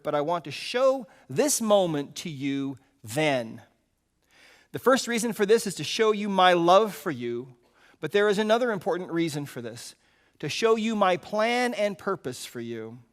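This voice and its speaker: A man reading the part of God in a story as a gentle, consoling, patient father, measured and reassuring. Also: sits high, big pitch swings.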